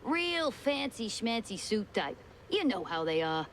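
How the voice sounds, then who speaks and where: A woman's voice: a little high, sing-song, a little slow, a little hiss or hum. A woman playing a brash, cheerful cartoon mouse pyrotechnist in a game, with cocky, streetwise talk. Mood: chatty, candid. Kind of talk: 1930s cartoon game acting.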